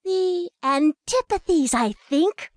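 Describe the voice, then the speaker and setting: A woman's voice: very high voice, lively intonation, slow, dry recording, clean studio sound, full character voice. A woman voicing a curious, chatty young girl who muses primly aloud to herself in a storybook reading. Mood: wondering. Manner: musing aloud to herself, prim. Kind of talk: audiobook character acting.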